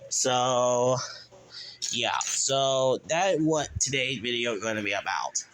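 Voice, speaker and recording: A man from Kansas chats through a home show-and-tell vlog in a bright, forceful voice at a middling pitch. He rambles, restarts his sentences often and keeps slipping in the same verbal tic. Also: takes it slowly, low-quality recording. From Kansas, USA. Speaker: channel owner, weather radio hobbyist.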